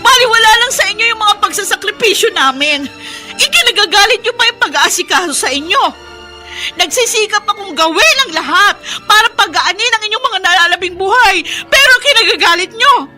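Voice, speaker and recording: Weary Filipino daughter, very high voice. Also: female voice, lively intonation, fairly quick, pushed and bright, faint music behind, full character voice. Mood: tearful pleading. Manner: pleading, long-suffering, emotional. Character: weary, devoted middle-aged daughter caring for her father. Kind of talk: Filipino radio drama acting.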